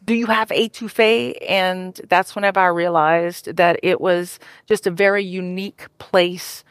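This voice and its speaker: A woman's voice: lowish voice, fairly even intonation, measured pace, smooth, no echo, clean studio sound. A woman from Louisiana with a Cajun accent, telling stories in a chatty way with many fillers and restarts. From Louisiana, United States. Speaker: guest. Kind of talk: casual storytelling talk.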